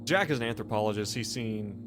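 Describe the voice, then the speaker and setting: A man's voice: on the low side, quick pace, over music. A man speaking casually as game master, narrating scenes and explaining his rulings. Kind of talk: running a tabletop roleplaying game in casual talk.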